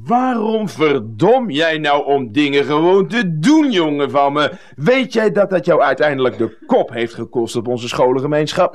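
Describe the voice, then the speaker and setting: An actor playing a boisterous, bullying former colleague in a comic radio play, hearty and mocking as he teases and badgers. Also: on the high side. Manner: loud joviality, teasing catchphrases, badgering.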